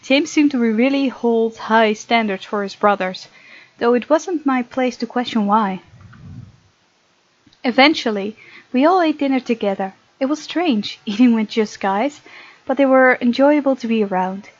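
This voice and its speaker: A woman with a fairly high voice reads a visual novel aloud, adding casual, expressive commentary. The sound is dry and a little muffled, like a modest home microphone. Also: unhurried, no room sound. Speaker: gaming video host.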